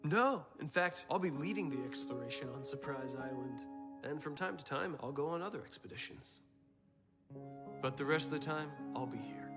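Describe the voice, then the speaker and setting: A man playing an earnest, kindly young adventurer in an animated film. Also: fairly high pitch, normal speed. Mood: assured. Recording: long reverb, music underneath.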